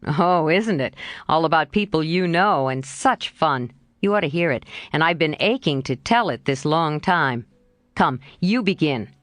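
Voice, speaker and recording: A woman's voice: expressive, a little slow, no room sound. A woman doing a teasing young man. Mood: coaxing. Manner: lightly lowered, coaxing, playful.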